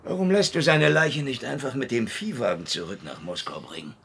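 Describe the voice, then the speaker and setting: An actor playing a grumpy old hard-drinking private detective in a hardboiled radio drama, sardonic and world-weary. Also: male voice, medium pitch, lively intonation, brisk, fairly bright tone, slight background noise. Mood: weary, mocking. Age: older. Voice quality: gravelly. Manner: sardonic, grumbling, drawling. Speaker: lead actor and first-person narrator.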